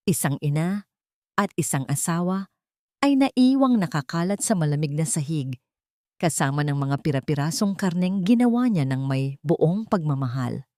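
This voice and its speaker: A woman narrating a scripted dramatic story with solemn, deliberate phrasing. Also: lowish voice, a little mellow, no echo, studio quality.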